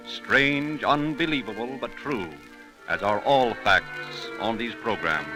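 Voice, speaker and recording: A man's voice: mid-range voice, slow, rough recording. A man narrating a 1930s radio program in a solemn, theatrical storytelling style. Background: music.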